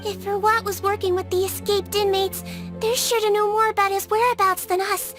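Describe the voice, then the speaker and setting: An actress voicing a small, gentle childlike creature who works as a nurse, in an earnest, sweet and curious video game performance. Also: female voice, very high voice, little pitch movement, fairly quick, music in the background. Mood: eager. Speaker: game character.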